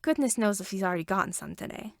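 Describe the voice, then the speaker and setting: A woman voicing a kind, gently reassuring mother in a story reading. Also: medium pitch, animated, fast, creaky, no echo, clean studio sound. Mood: friendly. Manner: kindly.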